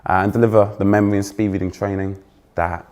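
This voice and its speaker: Young English male keynote speaker, fairly posh accent, loud, energetic, teases the crowd. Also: fairly low pitch, fast. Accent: trained-out Essex, now fairly posh English. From England. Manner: energetic, joking with the audience, self-deprecating. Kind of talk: conference keynote talk. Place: stage before a live audience.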